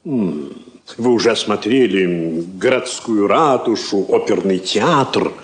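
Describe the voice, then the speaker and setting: A man playing an effusive, courtly foreign counsellor in a Soviet radio drama, with a florid, drawn-out, ceremonious manner. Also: on the low side, animated. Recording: roomy, echoing studio sound.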